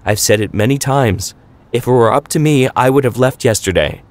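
A man's voice: medium pitch, normal speed, pitched above the performer's own voice, natural voice. Firm, weary father, read by a man. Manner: firm, matter-of-fact.

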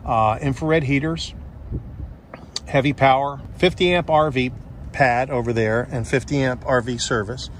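A man's voice: mid-range voice, unhurried, a little hiss or hum. Folksy man giving an offhand property tour. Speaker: real estate agent giving a property tour. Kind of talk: casual unscripted walkthrough commentary.

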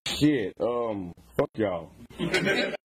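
A man's voice: medium pitch, big pitch swings, very slow. A man in his thirties telling crude jokes casually as a podcast host, irreverent and prone to chuckling. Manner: irreverent, chuckling between lines. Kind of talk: casual joke-telling, crude roast humour. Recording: clear background noise, clicks.

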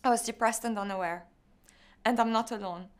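A young woman from Lebanon, a startup founder, delivering a rehearsed, measured pitch. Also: fairly even intonation, clear, resonant tone. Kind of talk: scripted pitch.

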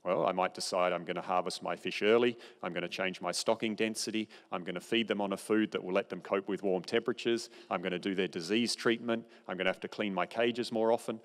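A man's voice: deep voice, fairly even intonation, fast, few pauses. A middle-aged man giving a conference keynote lecture from a podium on a large stage, explaining in an informal, conversational way. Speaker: keynote speaker. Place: large dark auditorium stage. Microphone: podium microphone, far camera. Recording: long hall reverb.

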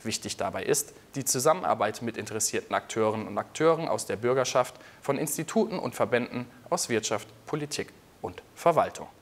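Young male anchor, medium pitch, scripted studio delivery. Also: expressive, fairly quick. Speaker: news presenter.

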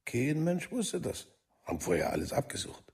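An actor playing an accusing village parent in a radio play, with a gravelly, husky voice. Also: male voice, fairly high pitch, huge pitch swings, moderate pace, a little mellow, full character voice. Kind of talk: documentary radio play monologue.

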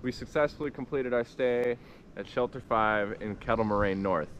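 A man's voice: medium pitch, normal speed. A man giving a casual narration for an outdoor hiking vlog, recorded on a handheld camera. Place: outdoors in bare woods and inside an open wooden shelter. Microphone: handheld 360 camera. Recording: noisy outdoor camera audio.

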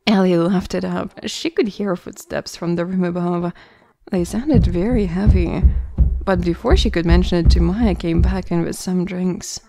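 A woman narrator with a somewhat low voice. She reads scripted story narration in a dry, close-sounding recording. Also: moderate pace, creaky, mellow, dry recording.

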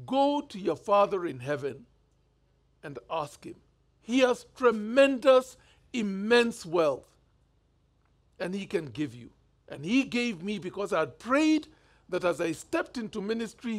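Kenyan male bishop, 50s, high voice, chatty storytelling sermon. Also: very animated, unhurried. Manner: conversational storytelling, gestures freely. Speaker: bishop preaching. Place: church stage indoors.

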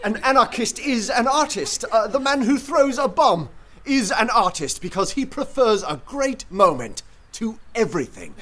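An actor playing a fiery anarchist poet in a radio play, declaiming with theatrical, scornful rhetoric. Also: male voice, very high-pitched, very animated, moderate pace, a bit bright, full character voice. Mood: impassioned preaching. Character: fiery red-haired anarchist poet and orator. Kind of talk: radio drama acting.

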